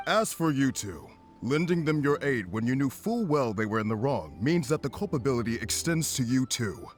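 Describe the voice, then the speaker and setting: A man's voice: medium pitch, big pitch swings, normal speed, close to an everyday voice. An actor playing a stern male government officer in a video game, speaking in a formal, authoritative way.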